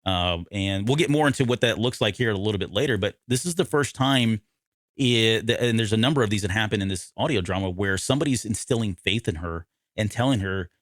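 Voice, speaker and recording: A man's voice: lowish voice, brisk, dry recording, clean studio sound. A man co-hosting a podcast, talking through a story casually and often correcting himself as he explains. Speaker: podcast co-host. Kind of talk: casual discussion of an audio drama.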